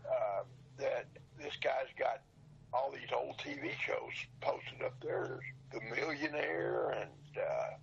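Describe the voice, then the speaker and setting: Older male interview guest: very gravelly, lively pitch movement, plain-spoken, on dull lo-fi audio. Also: slow pace, slight background noise. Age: 60s or older. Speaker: interview guest, old radio show dealer. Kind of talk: casual interview chat.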